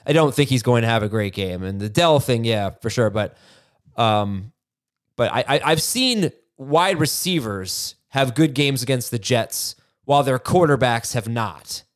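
A man hosting a podcast in casual chat, with a nasal voice and a relaxed, rambling way of talking. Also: mid-range voice, a little slow. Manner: relaxed, chatty, with frequent restarts. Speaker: podcast host.

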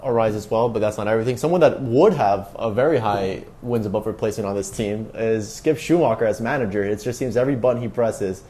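A man's voice: fairly low pitch, fast talker, hardly any pauses, mellow. A man hosting a casual sports interview, putting questions and comments to his guest. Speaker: sports podcast host, interviewer.

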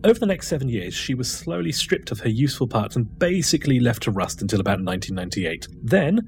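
A man's voice: medium pitch, sing-song, quick pace, a little mellow, slight background noise. A man co-hosting a podcast, reading a scripted news segment with wry, sarcastic asides. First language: not Chinese. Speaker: podcast co-host.

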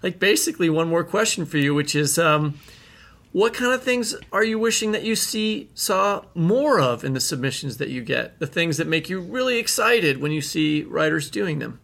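A man co-hosting a literary podcast and talking with a guest in an animated, emphatic way. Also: on the high side, normal speed, gravelly. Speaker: podcast co-host. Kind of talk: interview conversation.

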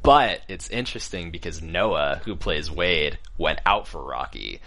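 A young man with a deep voice, a guest actor telling casual stories and acting out quoted lines. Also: unhurried. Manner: relaxed storytelling, acts out quotes.

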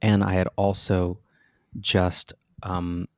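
A man with a low voice and a gravelly edge tells a backstage story in a relaxed interview. He rambles and restarts as he goes, and laughs at himself. The sound is close and dry. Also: slow, dry recording. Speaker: guest actor.

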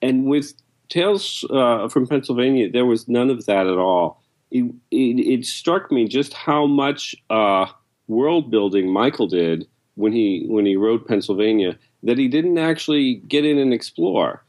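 A man, an editor, speaking in a halting way with many "uh"s and restarts. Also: medium pitch, expressive, darkish tone. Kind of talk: podcast conversation.

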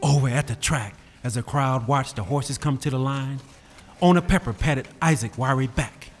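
A man's voice: mid-range voice, normal speed. A man narrating an old-time radio drama in a folksy storytelling manner. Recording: old radio recording with music behind.

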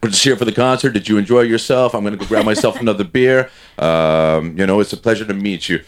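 A man's voice: lowish voice, brisk. A man with a Franco-Ontarian French accent chatting casually and telling stories in slangy French mixed with English. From Ontario, Canada. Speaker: touring band member, guest. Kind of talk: casual bantering chat, storytelling.

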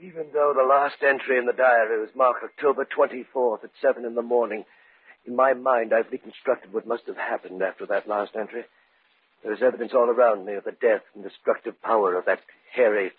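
Grave investigator, tinny old radio. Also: male voice, lowish voice, fairly even intonation, a little fast, husky. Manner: ominous, dramatic. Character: grave male investigator recounting a grisly discovery. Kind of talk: old-time radio acting, first-person narration.

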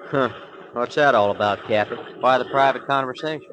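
A man's voice: medium pitch, a little fast, lightly acted. Man playing an eager, slangy tough sidekick. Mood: curious, game. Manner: slangy, plain-spoken. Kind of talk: 1940s radio drama acting. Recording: faintly noisy vintage radio recording.

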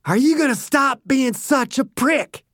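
A man's voice: on the high side, measured pace, no room sound, studio quality. A man voicing a brutal, menacing man who threatens and snarls at a younger man. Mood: furious.

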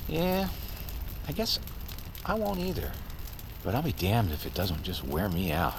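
A man's voice: lowish voice, wildly swooping pitch, unhurried, vocal fry, background noise, exaggerated voice. An actor playing a weary homeless man in an audio drama, grumbling and colloquial, a loyal buddy. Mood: weary, grudging. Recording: street ambience with passing vehicles.

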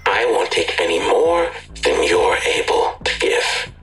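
A man playing a sinister dark-net chatbot dealer in an audio drama, cryptic and coldly insistent, with a gravelly, breathy near-whisper. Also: sits low, sing-song, faint music behind, heavily acted, poor audio. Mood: sinister, tempting. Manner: deliberate, cryptic, menacing. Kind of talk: full-cast audio drama acting.

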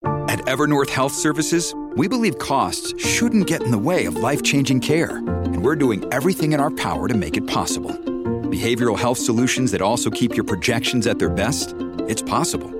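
A man's voice: medium pitch, lively intonation, brisk. A man reading a scripted advertisement as a commercial announcer. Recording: loud guitar music behind.

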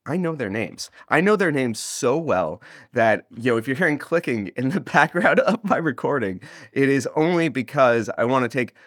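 A man's voice: medium pitch, animated, normal speed, a bit bright, no echo, clean studio sound. A man being interviewed as an audio drama creator, chatting casually in a rambling, jokey way that often interrupts itself. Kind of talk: casual, animated interview chat.

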